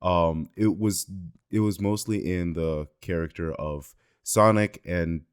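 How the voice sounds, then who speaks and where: Male co-host, deep voice, relaxed podcast conversation about films. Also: slow, clean tone. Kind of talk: casual film discussion.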